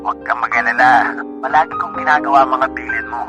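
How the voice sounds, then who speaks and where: Caring filipino boyfriend guy acting, mid-pitched reassuring voice, radio drama with clear music under him. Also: fairly even intonation, fast, lightly acted. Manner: reassuring, considerate. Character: caring overseas-worker boyfriend, steady, reassuring, responsible. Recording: studio drama over clear background music.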